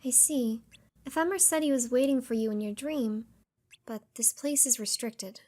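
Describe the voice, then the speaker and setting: Woman acting a high-voiced, gentle young female master. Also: normal speed, close to an everyday voice. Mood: gentle, composed. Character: young female master, gentle but firm, mysterious fighter. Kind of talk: video game fan dub acting. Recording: music under the voice.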